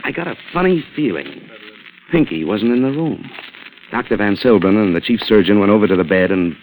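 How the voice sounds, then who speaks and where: A man's voice: fairly low pitch, animated, fairly quick, a little mellow, slight background noise, close to an everyday voice, low-quality recording. A man playing a brash, wisecracking newspaper reporter who narrates his crime story in wry, clipped, streetwise hardboiled radio style. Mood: wry, tense narration. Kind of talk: hardboiled 1940s radio drama acting. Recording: vintage radio recording with music behind.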